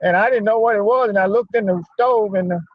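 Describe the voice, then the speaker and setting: A man's voice: high voice, quick pace, dry recording. A man gives an informal religious talk full of personal storytelling, speaking in a folksy, conversational way and repeating phrases for emphasis. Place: parked in a lot, talking remotely. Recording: dull lo-fi audio.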